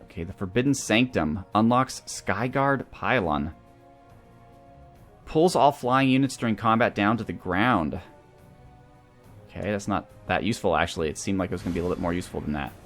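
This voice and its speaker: A man's voice: lowish voice, a little fast, a bit bright, soft background music. A man giving casual gameplay commentary as a let's play host, thinking aloud through his moves.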